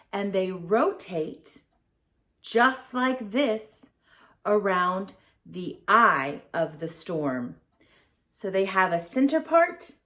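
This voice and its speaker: A woman hosting a children's storytime, explaining things simply and reassuringly for young listeners. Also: medium pitch.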